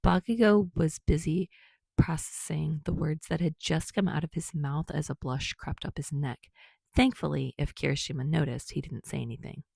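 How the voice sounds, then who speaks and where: A woman's voice: sits low, steady tone, moderate pace, creaky, darkish tone, breathy edge, no room sound. A woman reading a story aloud as scripted audiobook narration.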